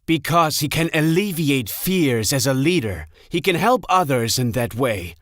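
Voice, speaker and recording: Animated, sly trickster god played by a man. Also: mid-range voice, measured pace, no echo, clean studio sound. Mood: eagerly persuasive. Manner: persuasive, theatrical. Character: sly, persuasive male god of deception. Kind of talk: audio drama acting.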